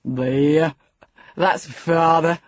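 Woman putting on a croaking old servant. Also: sits low, fairly even intonation, extremely slow, dry recording, full character voice. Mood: jeering. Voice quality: croaking laugh. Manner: gloating, drawling. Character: mocking old male farm servant, croaking.

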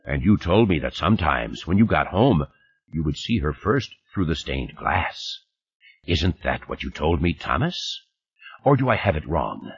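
A man's voice: very deep voice, sing-song, unhurried, breathy edge, dull audio, full character voice. A man voicing a sarcastic, irritable old man who needles with ironic questions and formal, slightly unidiomatic phrasing in an audiobook. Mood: needling.

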